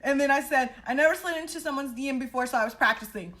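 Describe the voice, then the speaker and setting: A woman's voice: high voice, fast talker. A young woman telling a personal story in a casual, chatty, dramatic way, with slangy, blunt talk and free swearing. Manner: animated, dramatic re-enacting of dialogue. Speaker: storytelling vlogger.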